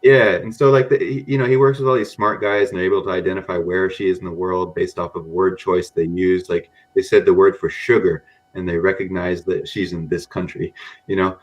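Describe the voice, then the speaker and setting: A very low-voiced man with a loosely mixed American accent talks through ideas in a rambling, casual way, leaning on "you know" and "um". His audio is dull and lo-fi. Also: steady tone, a little fast. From grew up in Japan among Americans. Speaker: podcast guest, data scientist. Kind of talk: casual podcast interview.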